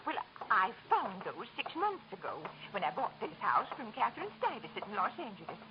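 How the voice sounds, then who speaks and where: A woman's voice: medium pitch, very animated, moderate pace, lightly acted. An actress playing a polite, anxious married woman in a 1940s radio drama. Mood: composed, tender. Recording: dull, noisy old radio recording.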